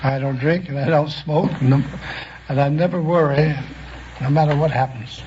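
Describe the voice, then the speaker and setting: A man's voice: a little high, steady tone, normal speed, darkish tone. A man in his sixties with a New York accent, giving casual answers as a radio quiz contestant. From New York City. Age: 60s. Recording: dull old radio, faint noise.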